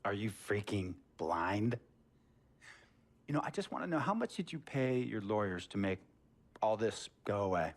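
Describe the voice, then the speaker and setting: A stern, lecturing team boss. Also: male voice, fairly low pitch, expressive, normal speed, pauses often. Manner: lecturing, sarcastic, authoritative. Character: stern middle-aged male team executive. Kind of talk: video game cutscene acting.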